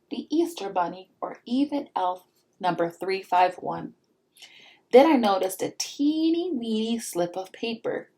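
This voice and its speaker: A woman's voice: medium pitch, warm tone. A woman voicing a dramatic little girl who craves sweets and tells her own storybook tale with exaggerated emphasis. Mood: disappointed.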